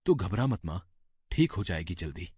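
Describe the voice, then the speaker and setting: A man's voice: sits low, big pitch swings, normal speed, husky, no room sound, studio quality, full character voice. A man playing a young village husband and devoted son in a dramatic, emphatic story performance. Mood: reassuring. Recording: loud music under the voice.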